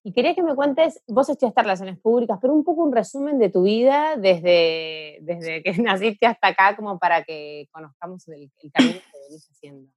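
A woman's voice: medium pitch, expressive, brisk, hardly any pauses, no echo. A woman with a Rioplatense Spanish accent who hosts a casual interview. She is friendly and hesitant, with plenty of fillers. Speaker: host.

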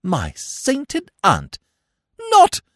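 A man voicing an excitable, dithering young upper-class English gentleman in an audiobook, flustered and rambling in old-fashioned slang. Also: high-pitched, moderate pace, no echo, clean studio sound, voice pushed up, full character voice. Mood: astonished. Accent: British upper-class.

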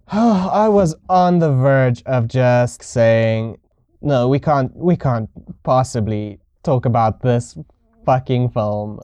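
A man co-hosts a podcast with casual, bantering talk. His voice is smooth and clear at a middling pitch. The sound is close and dry, with very little room in it. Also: slow, warm tone, no echo. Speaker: podcast co-host.

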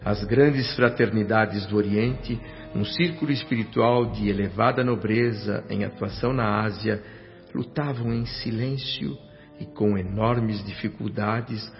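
Solemn narrator, lowish voice, formal delivery, radio drama, dull recording over music. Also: male voice, unhurried. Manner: grave, formal. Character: solemn, inquisitive male narrator, a newcomer spirit. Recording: muffled radio play with background music.

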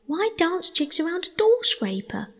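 A woman's voice: extremely high pitch, sing-song, unhurried, in a large hall, voice pushed up, full character voice. A woman voicing a sulky, literal-minded male mole from a children's storybook, complaining in a pettish, miserable manner. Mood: slow, dismissive.

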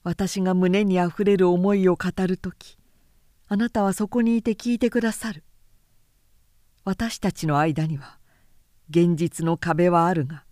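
A woman as a slow, hushed, poetic male lover. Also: fairly low pitch, dry recording, clean studio sound, natural voice. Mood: ardent, gentle. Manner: hushed, measured, earnest declarations of love. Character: secret male lover, gentle, solemn, poetic whisperer. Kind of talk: literary audiobook character acting.

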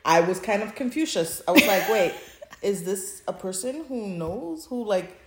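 A woman's voice: mid-range voice, lively intonation, measured pace. A woman co-hosting a podcast, chatting informally and animatedly and often acting out her own thoughts. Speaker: podcast co-host. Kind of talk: casual chat about fan fiction recordings. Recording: echoey, roomy voice pickup.

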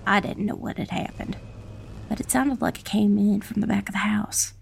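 Woman narrator with heavy vocal fry. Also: medium pitch, steady tone, moderate pace, slight background noise. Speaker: audiobook narrator. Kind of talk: dramatic first-person scripted narration of dark fantasy. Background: faint music.

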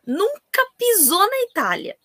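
A woman with a Brazilian Portuguese accent hosting a casual podcast interview, talking in an animated, emphatic way and often exclaiming. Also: very high voice, measured pace, no echo, studio quality. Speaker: podcast host.

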